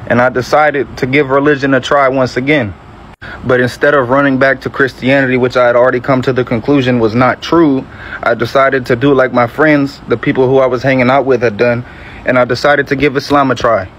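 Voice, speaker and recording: A man, giving a measured, reflective personal testimony and often correcting himself. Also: mid-range voice, level tone, fairly bright tone, slight background noise, rough recording. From Metro Atlanta, Georgia, USA. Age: early 20s.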